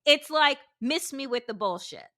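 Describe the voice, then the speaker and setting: A woman's voice: high voice, animated, moderate pace, pushed and bright. A woman hosting a casual political chat, speaking emphatically and frankly. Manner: emphatic, forthright commentary. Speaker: podcast host.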